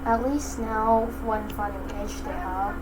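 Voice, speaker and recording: A woman's voice: medium pitch, fairly even intonation, a little slow, very noisy. Young woman casually commenting on gameplay. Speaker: gamer. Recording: voice over loud game music.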